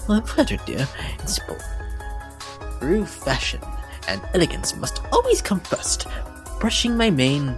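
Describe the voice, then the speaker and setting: A woman's voice: lowish voice, wildly swooping pitch, measured pace, voice pushed up, heavily acted. A woman voicing a vain, theatrical, unhinged lady unicorn pony from a cartoon, with an affected, haughty manner, in a dramatic fan reading. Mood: breezy, self-satisfied. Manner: affected, haughty, says darling. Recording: music behind the voice.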